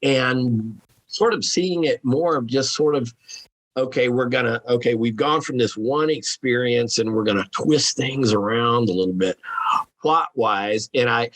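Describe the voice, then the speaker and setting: A man with a mid-pitched, somewhat husky voice speaks as a podcast guest. He talks conversationally and haltingly, working through his thoughts as he goes. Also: a bit bright, poor audio. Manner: musing, halting, thinking aloud. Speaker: guest author.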